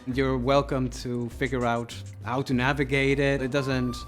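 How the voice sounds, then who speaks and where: A man's voice: medium pitch, level tone, unhurried. A man casually explaining his work as a developer in an interview, informally thinking aloud. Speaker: creative developer. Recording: music behind the voice.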